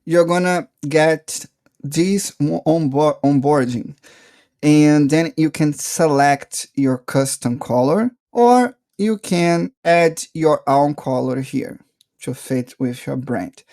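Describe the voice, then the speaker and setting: A man narrating a casual software tutorial, explaining step by step in a halting way and checking in often. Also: on the high side, no echo, studio quality. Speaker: software reviewer and tutorial presenter.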